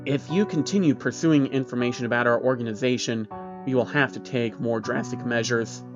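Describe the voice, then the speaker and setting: A man's voice: mid-range voice, fairly quick, highs cut off, music in the background, natural voice. A man voicing a guarded, evasive but firm woman from a secretive group in a narrated horror story. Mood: stern warning.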